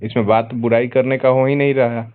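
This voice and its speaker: A man's voice: mid-range voice, flat delivery, fairly quick, clear, resonant tone. A man debating heatedly as host on a phone call, insistently piling up rhetorical questions. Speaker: host taking phone calls.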